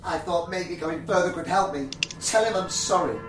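A woman playing a frantic, desperate young man in a radio play, barking orders. The voice is lowish and swings widely, and it sounds echoey, as if from off mic. Faint music plays behind it. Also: moderate pace, recorded from a distance, background noise, natural voice. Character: desperate, self-harming young man Jack. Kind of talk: audio drama acting.

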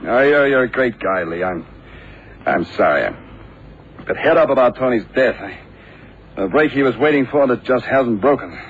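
Aging male narcotics chief played by an actor, radio drama: agitated, halting, mid pitch; narrow, slightly noisy vintage sound. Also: animated, close to an everyday voice. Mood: uneasy, apologetic. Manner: hesitant, blustering. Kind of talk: radio crime drama acting.

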